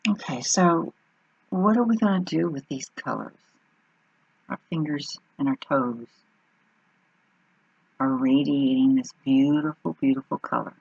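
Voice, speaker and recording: A woman leads a guided meditation at a slow, unhurried pace, leaving pauses between short phrases. Her voice is husky and full of fry. The recording is muffled and dull. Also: very deep voice, a little mellow, no room sound.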